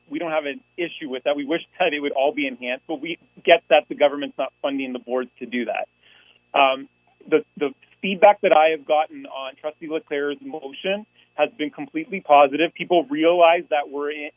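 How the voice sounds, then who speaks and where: A man's voice: on the high side, dry recording, lo-fi sound. A man speaking earnestly at a public school board meeting, often restarting his phrases. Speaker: union representative presenting to a school board.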